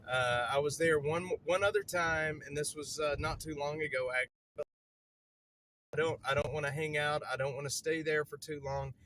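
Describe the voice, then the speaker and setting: A man's voice: fairly high pitch, fairly even intonation, normal speed, sharp tone, a little hiss or hum. Man with a stutter. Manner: rambling, repeats himself, many fillers. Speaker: livestreamer. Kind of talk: casual livestream chat. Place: outdoors on a city street, moving around.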